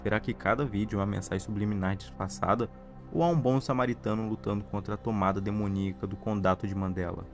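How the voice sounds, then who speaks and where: A man's voice: lowish voice, fairly even intonation, fast, soft background music. A man with a Brazilian accent reading scripted narration in a documentary-style video essay.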